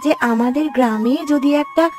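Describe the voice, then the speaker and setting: A woman as a high-voiced earnest village girl. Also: moderate pace, warm tone, faint music behind, lightly acted. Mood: hopeful, suggesting. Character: polite, earnest village girl with bright ideas. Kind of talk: Bengali fairy-tale cartoon dialogue.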